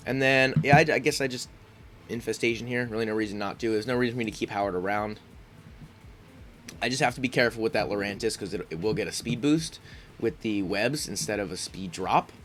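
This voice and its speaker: A man's voice: on the low side, brisk, a bit bright, slight background noise. A congested man giving casual commentary on his own game, thinking aloud with frequent ums and restarts. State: congested, sniffling. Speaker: gaming YouTuber.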